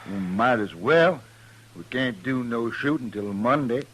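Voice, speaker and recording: An actor playing an easygoing hillbilly with a rural American accent in a 1950s radio western. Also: male voice, mid-range voice, animated, measured pace, fairly bright tone, low-quality recording. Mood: casual. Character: broke, easygoing backwoods feuding mountaineer, male.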